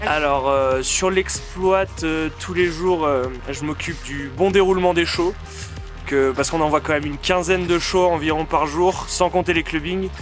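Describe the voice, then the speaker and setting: Slightly high-voiced man, hesitant. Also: moderate pace, soft background music. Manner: hesitant, frequent fillers. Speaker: music director, DJ. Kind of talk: interview answers.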